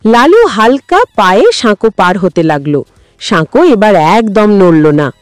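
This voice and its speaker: A woman's voice: medium pitch, very animated, measured pace, no echo, faint music behind. A woman narrating a scripted story for children as a storyteller.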